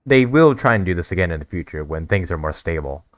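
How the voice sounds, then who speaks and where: A man's voice: low voice, expressive, fast, no echo. A man giving casual let's play commentary as a gaming streamer.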